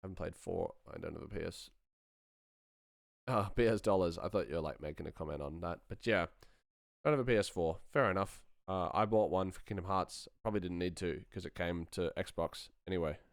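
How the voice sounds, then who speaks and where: A man's voice: deep voice, fairly quick, gravelly, no echo, clean studio sound. A man talking informally and enthusiastically on a livestream, thinking aloud as he works through sound design. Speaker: sound designer streaming a live work session. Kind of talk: casual livestream chat and tutorial.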